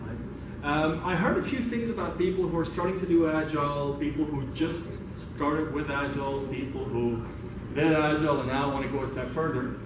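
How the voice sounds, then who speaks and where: A man from Belgium presents a workshop in an informal, conversational way. He is recorded far from the microphone in a very reverberant room. Clear background noise runs under his speech. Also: on the high side, steady tone, normal speed. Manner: conversational, engages the audience with questions. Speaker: workshop facilitator, speaker. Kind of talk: informal talk to a meetup audience. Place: indoor meetup room.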